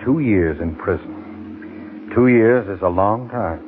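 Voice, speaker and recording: An actor playing a sober, regretful former district attorney in a 1940s radio drama. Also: male voice, on the low side, takes it slowly, a little hiss or hum. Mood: somber, reflective. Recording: tinny, band-limited 1940s radio broadcast.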